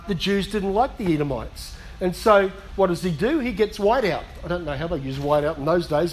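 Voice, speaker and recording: A middle-aged man preaching a sermon in a conversational way, with humorous asides, slightly hoarse as he is losing his voice. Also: high voice, fairly bright tone. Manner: conversational, self-deprecating humour, frequent asides. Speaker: pastor.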